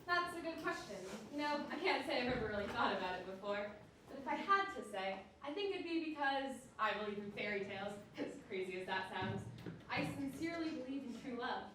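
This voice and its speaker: A woman acting a polite, sincere young event organiser and romantic dreamer in an amateur stage play, gentle and apologetic. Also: a little high, animated, a little fast, distant mic, natural voice. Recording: echoey hall with faint noise.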